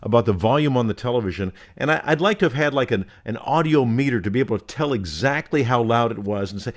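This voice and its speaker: Animated middle-aged man with a resonant voice. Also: mid-range voice, fast. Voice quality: resonant voice that carries. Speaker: podcast co-host. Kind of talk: casual chat.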